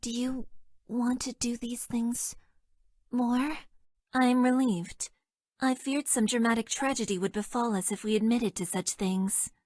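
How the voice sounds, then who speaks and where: A woman voicing a detached, self-deprecating young female mecha pilot in a video game, with deadpan, stilted and hesitant delivery. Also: fairly high pitch, measured pace, dry recording, clean studio sound. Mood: shy, tender. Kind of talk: video game character voice lines.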